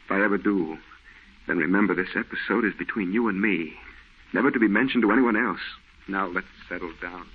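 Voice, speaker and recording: A man's voice: sits low, fairly quick, husky. An actor playing a haunted, secretive, fearful man, brooding and melodramatic in old radio horror style. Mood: guarded, brooding. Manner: brooding, tense, evasive, melodramatic. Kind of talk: 1940s radio horror drama acting. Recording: muffled old-time radio recording.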